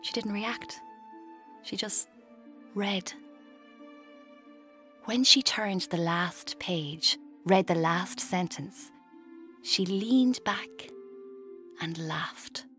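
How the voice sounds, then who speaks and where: An actress playing a watchful woman in her twenties, narrating her own story in a confiding, measured, wry way for a radio drama. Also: medium pitch, sharp tone, faint music behind. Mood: watchful, tense. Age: 20s.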